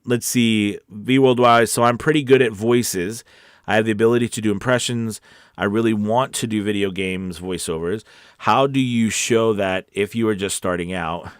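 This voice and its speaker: A man with a fairly low voice and a dry, close sound talks casually as a voice-over coach on a livestream. He rambles informally and encourages his viewers as he responds to their comments. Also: smooth, no echo.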